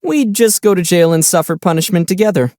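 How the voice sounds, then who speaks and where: A man voicing a young adventurer in a video game, calm, kind and dryly witty, with a gently teasing, unhurried delivery. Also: sits high, no room sound, clean studio sound.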